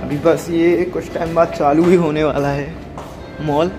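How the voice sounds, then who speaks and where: A man's voice: on the high side, measured pace, music in the background. Young man narrating a mall tour. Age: young adult. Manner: repetitive, even-toned tour commentary. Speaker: vlogger. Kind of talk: casual walking-tour vlog narration. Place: large indoor mall and parking at night. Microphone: handheld phone camera.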